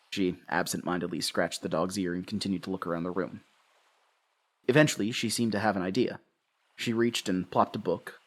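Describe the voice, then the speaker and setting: An actor playing a haunted, guilt-ridden husband and father, narrating a horror audio drama in a halting, anguished way. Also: low voice. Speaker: narrator and lead character.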